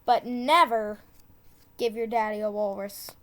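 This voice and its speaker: A woman reading a children's tongue-twister book aloud, deliberately, with casual asides and the odd corrected stumble. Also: fairly high pitch, fairly even intonation. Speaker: storybook reader.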